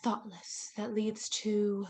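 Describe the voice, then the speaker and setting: A woman's voice: mid-range voice, completely flat delivery, takes it slowly, warm tone. A woman playing an eerie, imperious hostess introducing a 1940s radio thriller, theatrical and menacing. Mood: ominous.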